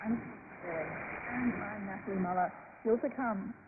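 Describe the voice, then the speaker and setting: A woman's voice: medium pitch, moderate pace. Lady radio presenter reading intros, tinny shortwave sound, lots of static. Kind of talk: scripted magazine show introduction.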